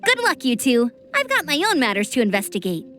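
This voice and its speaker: An actress voicing a cheerful, friendly young female knight scout in a video game, with a peppy, energetic delivery. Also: high voice, a little fast, faint music behind, full character voice. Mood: explaining. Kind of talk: game voice acting.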